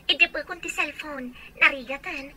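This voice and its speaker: A woman's voice: sits high, normal speed, sharp tone. A woman with a Filipino accent playing a helpful, reassuring young friend in a radio drama. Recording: busy crowd noise behind voice.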